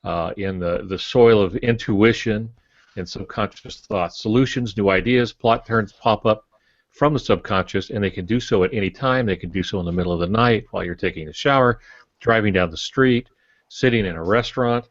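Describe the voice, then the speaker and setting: Older man, low voice, dry muffled sound. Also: no room sound. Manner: measured, explanatory. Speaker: podcast co-host, writer and former preacher. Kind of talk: casual instructive discussion. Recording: muffled lo-fi podcast audio.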